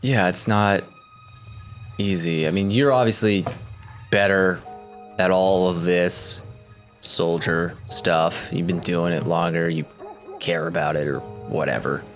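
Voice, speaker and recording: A man voicing an insecure, earnest young male soldier who talks in halting, self-doubting rambles. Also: sits low, steady tone, fairly bright tone, faint music behind, voice pushed down. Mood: dejected. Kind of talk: in-character tabletop roleplay.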